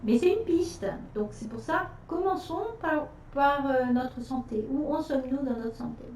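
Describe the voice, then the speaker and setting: A woman's voice: high-pitched, normal speed, darkish tone, recorded from a distance, slight background noise. A middle-aged French woman talking casually on a live stream, hesitant, with many fillers and restarts. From France. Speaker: nutrition specialist hosting a live stream. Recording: echoey room, voice off-mic.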